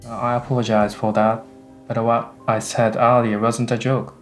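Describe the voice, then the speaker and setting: A man playing a gentle, hesitant Japanese soldier in a radio drama. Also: medium pitch, level tone, moderate pace, faint music behind, close to an everyday voice. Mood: earnest, apologetic. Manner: hesitant, polite.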